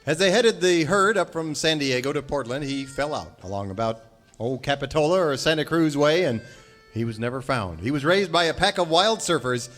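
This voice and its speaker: A man's voice: on the high side, lively intonation, normal speed, fairly bright tone, faint music behind. A man hosting a live radio variety show in a folksy old-time style, playing the polite straight man. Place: on stage before a live audience.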